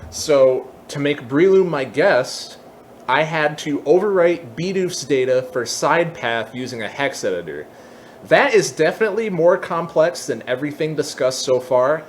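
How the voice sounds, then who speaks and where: A man presenting a software tutorial, explaining step by step in a semi-casual way with casual asides. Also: fairly high pitch, slight background noise.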